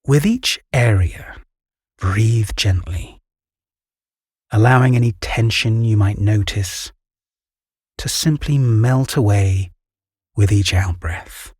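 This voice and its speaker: A British man guiding a meditation in a calm, gentle, soothing voice with measured pauses and a soft, breathy tone. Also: lowish voice, dry recording, studio quality. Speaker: meditation guide. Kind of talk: guided meditation narration.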